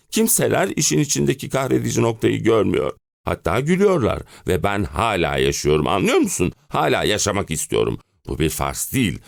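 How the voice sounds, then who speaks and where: Male actor doing a fed-up middle-aged husband, melodramatic stage rant, deep voice that swings all over, self-pitying. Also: creaky, no echo, clean studio sound, full character voice. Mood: desperate, agitated. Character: exasperated, hen-pecked middle-aged husband ranting about summer-house misery. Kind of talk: theatrical one-man play monologue.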